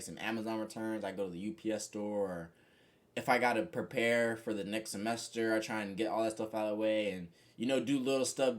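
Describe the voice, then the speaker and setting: Young guy just rambling to his viewers, mid-pitch, kinda monotone, lots of you know and like. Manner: says he has a monotone voice. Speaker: vlogger. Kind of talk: casual reflective monologue to camera.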